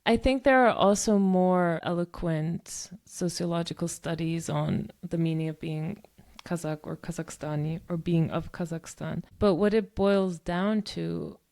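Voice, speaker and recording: A woman's voice: fairly low pitch, steady tone, a little slow, few pauses, darkish tone. A woman co-hosting a discussion podcast, talking in long, thoughtful stretches full of "um" and "you know". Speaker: podcast co-host.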